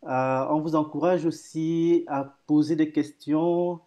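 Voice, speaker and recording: A man in his thirties hosts a live video call, cheerful but halting, piling up fillers and restarts. His voice sits a little high, yet it sounds mellow and warm. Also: fairly even intonation. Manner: hesitant, many fillers and repeated words, cheerful. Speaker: host. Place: home office room.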